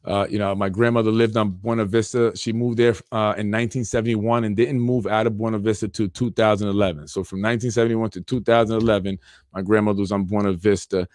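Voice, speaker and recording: Man, forties, from yonkers, podcast host, earnest, fairly low voice, dry close sound. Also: little pitch movement, fast talker, darkish tone, no echo. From Yonkers, New York. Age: 40s. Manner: earnest, plainspoken, repeats phrases with many "you know"s. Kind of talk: solo podcast monologue.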